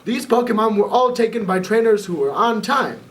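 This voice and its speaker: A man playing an old professor who speaks in a measured, knowing way. There is a slight nervous edge under the dry tone. The voice sounds a little distant from the microphone. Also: high-pitched, lively intonation, voice pushed up. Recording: voice a bit far from the mic.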